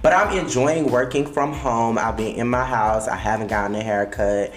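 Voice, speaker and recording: A man's voice: medium pitch, normal speed, reverberant. A young man from Miami hosting a casual podcast, chatty and gossipy, swearing freely. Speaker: podcast host.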